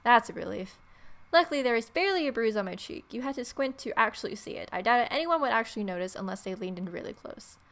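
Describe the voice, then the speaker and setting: A woman's voice: fairly high pitch, expressive, fast talker, a bit bright. A woman reading story text aloud as a let's player, with irreverent, sarcastic asides.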